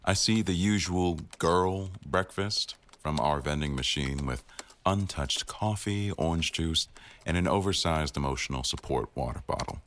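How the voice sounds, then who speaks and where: A man's voice: low-pitched, measured pace, fairly bright tone. A man playing a stern, teasing office boss in a boyfriend roleplay audio, speaking in a wry, formal way. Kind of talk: boyfriend ASMR roleplay acting.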